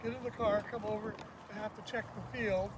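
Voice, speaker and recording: A man with a high voice reminisces in an informal, conversational way. He is outdoors, and very heavy noise surrounds his voice. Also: little pitch movement, fairly quick. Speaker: guest sharing memories. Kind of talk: casual reminiscing. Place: outdoors in an iris garden. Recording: very noisy outdoor recording without microphone.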